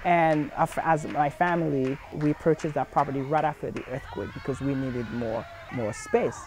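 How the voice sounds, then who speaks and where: A man's voice: on the high side, fairly even intonation, moderate pace, background noise. A young man from Haiti speaking in an earnest documentary interview, with frequent fillers and restarts. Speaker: community center founder's son.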